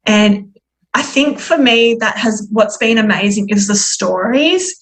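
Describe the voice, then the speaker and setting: A woman guest telling her personal story in conversation, reflective and candid, with a halting delivery. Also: medium pitch, animated. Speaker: podcast guest.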